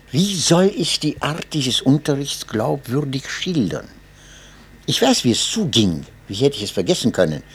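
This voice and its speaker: Husky male memoir narrator. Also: on the high side. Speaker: audiobook narrator.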